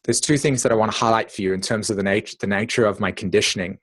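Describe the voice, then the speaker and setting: A man with a South African accent giving an informal, reflective talk in an online meeting, haltingly repeating words and checking in with his listeners. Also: lowish voice, steady tone. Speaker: astrologer presenting at an online meeting. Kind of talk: informal spoken lecture with personal reflections.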